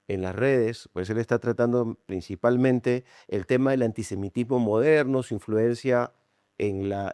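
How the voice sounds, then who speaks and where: A man's voice: medium pitch, darkish tone, smooth, no echo, studio quality. A man hosting an interview channel, introducing his guest and asking questions with frequent short acknowledgments. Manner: friendly, lots of short acknowledgments. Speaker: interview host. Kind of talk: interview conversation.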